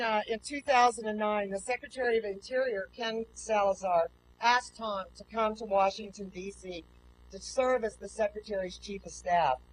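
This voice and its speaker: A woman giving a formal welcome speech and introducing guests as host of a ceremony. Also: medium pitch. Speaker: park superintendent, ceremony host. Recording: noisy live outdoor event feed.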